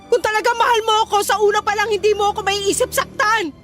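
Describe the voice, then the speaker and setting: High-pitched heartbroken, furious Filipino woman. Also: steady tone, quick pace, fairly bright tone, soft background music. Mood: indignant, scornful. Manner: scolding, anguished, emotionally intense. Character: betrayed, furious, heartbroken young woman leaving her cheating boyfriend. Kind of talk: Filipino radio drama acting.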